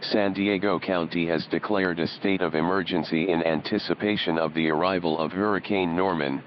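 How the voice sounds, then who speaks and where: A man reading a scripted emergency message as an official announcer, formal and measured. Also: sits low. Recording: dull, noisy emergency radio broadcast.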